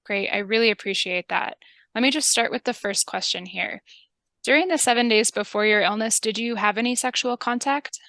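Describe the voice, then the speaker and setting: A woman narrating a training video and playing a calm, reassuring public health interviewer. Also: fairly high pitch, brisk, dry recording.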